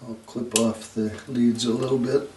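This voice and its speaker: A man narrating a hands-on repair tutorial in a halting, unscripted way, as if working at a bench indoors. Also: mid-range voice, flat delivery. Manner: hesitant, unscripted, halting delivery. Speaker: electronics repair video host. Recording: roomy, distant mic, noisy background.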